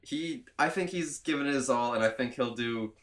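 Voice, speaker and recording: Male podcast host, congested from a cold, slightly nasal, loose and chatty, mid-range pitch. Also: moderate pace, fairly bright tone. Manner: chatty, laughs often, rambling. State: has a cold. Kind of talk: casual chat.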